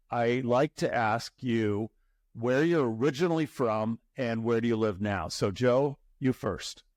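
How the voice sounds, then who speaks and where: This is a man hosting an interview podcast, reading guest bios and then chatting easily. He often stumbles and repeats a word before his question comes out. His voice sits at a middle pitch and sounds close and dry, with little room. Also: no room sound. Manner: chatty, repeats words when starting. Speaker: podcast host.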